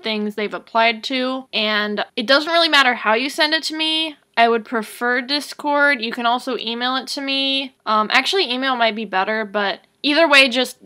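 A woman with a fairly high, very bright voice talks casually in a voice-over update. Her delivery is informal and conversational, like a creator filling in her followers. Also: moderate pace, few pauses. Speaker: animation creator giving an update.

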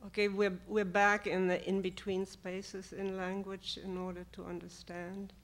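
A woman in the audience asking a question and making comments from the floor, with an uneven, deliberate pace. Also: mid-range voice, flat delivery.

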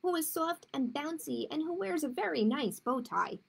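A woman's voice: high voice, lively intonation, normal speed, creaky. A woman voicing a loving little girl in a storybook reading, tender and reassuring.

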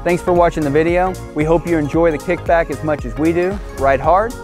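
Higher-voiced man demonstrating over music. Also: steady tone, fairly quick. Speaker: product demonstrator. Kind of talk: casual instructional walkthrough.

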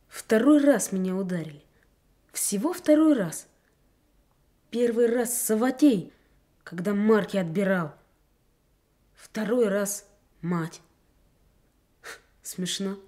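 A young performer playing a hurt, bitter and defiant schoolboy in a radio drama. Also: male voice, sits high, animated, slow pace, long pauses, warm tone.

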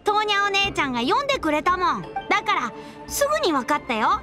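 A woman's voice: extremely high pitch, sing-song, quick pace. A woman playing an innocent, eager little boy in a Japanese video game, with a childlike, earnest delivery. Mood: eager, pleading. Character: innocent, eager little boy who adores his big brother. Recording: music behind the voice.

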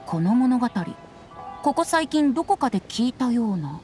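Boyish young bard, playful and teasing, fairly high voice over clear background music. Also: female voice, sing-song, normal speed. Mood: thoughtful. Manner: boyish, airy, teasing speech. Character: breezy, playful young bard who loves drink. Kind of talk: anime game cutscene acting. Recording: music behind the voice.

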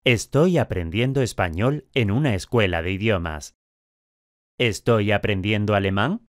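A man speaking clearly articulated drill questions and statements in a language course. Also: lowish voice, unhurried, no echo, clean studio sound.

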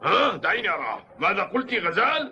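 A man playing an old mountain grandfather in a dubbed cartoon, gruff but caring, patiently explaining things. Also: sits high, big pitch swings, fast talker, highs cut off, background noise. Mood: curious. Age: older. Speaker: grandfather character in a cartoon dub.

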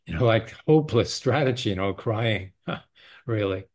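Older man, halting political talk. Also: lowish voice, animated, darkish tone. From Canada. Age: 60s or older. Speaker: forum host. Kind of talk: casual political discussion. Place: indoor room, video call.

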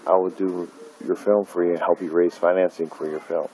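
Middle-aged man, very low voice, bitter profane rant to his phone camera, faint hiss. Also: flat delivery, fairly quick, dark tone, low-quality recording. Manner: bitter, profane, repeating himself with many fillers. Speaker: independent filmmaker. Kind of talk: angry personal vlog rant. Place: dim room at night, indoors. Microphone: phone camera held close.

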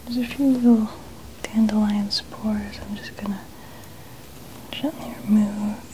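A young woman whispering softly and breathily in an ASMR styling roleplay, gently narrating small actions as a stylist. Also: mid-range voice, fairly even intonation, unhurried, a little hiss or hum, rough recording. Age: young adult. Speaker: ASMR host playing a dress stylist. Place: small indoor studio room. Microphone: small mic clipped at chest.

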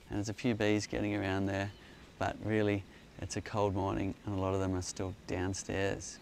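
A man in his forties hosting an outdoor beekeeping Q&A, explaining with enthusiasm while he demonstrates in a hillside garden. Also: lowish voice. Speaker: beekeeping company host. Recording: faint outdoor noise.

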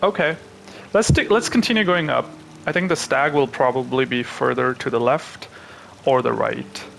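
A young man from Pakistan, hosting a gaming channel, who chats to viewers and thinks aloud as he plays, with excitable reactions. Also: medium pitch, moderate pace, creaky, a little hiss or hum, rough recording. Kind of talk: live gameplay commentary.

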